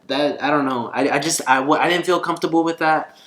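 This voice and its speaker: A man's voice: on the high side, fast, a bit bright, a little hiss or hum. A man vlogging in a casual, conversational and earnest way, telling a personal story. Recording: roomy echo, faint guitar music behind.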